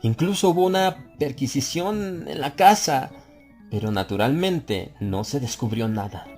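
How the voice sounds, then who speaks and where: A man reading a horror story as an audiobook narrator, theatrical, building tension with swells in pitch and loudness. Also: animated, a little slow, faint music behind. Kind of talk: dramatic scripted narration.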